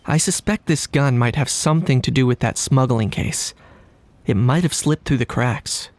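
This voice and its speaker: A man voicing a composed, polite knight investigator in a game, measured and analytical with a dry streak. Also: mid-range voice, no echo, lightly acted. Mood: serious, analytical. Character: composed, courteous male knight investigator, dryly cheeky. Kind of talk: English game dub acting.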